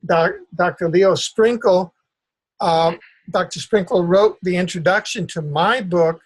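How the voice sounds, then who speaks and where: An older man hosting a conversational interview, speaking in a halting, deliberate way. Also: high voice, poor audio. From Cuba. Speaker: interview host.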